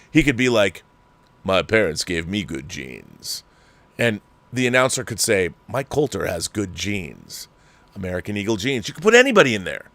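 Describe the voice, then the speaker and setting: A man's voice: medium pitch, a bit bright, no room sound. A man hosting a commentary show, delivering a heated, sarcastic, exasperated rant. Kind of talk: ranting commentary monologue.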